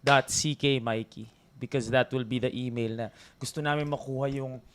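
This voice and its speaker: A man's voice: medium pitch, steady tone, fairly quick, clean tone. A Filipino man in his thirties hosts a casual podcast. He banters playfully and slips easily between English and Tagalog, with a light, teasing tone. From Philippines. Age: 30s. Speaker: podcast host. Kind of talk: casual chat.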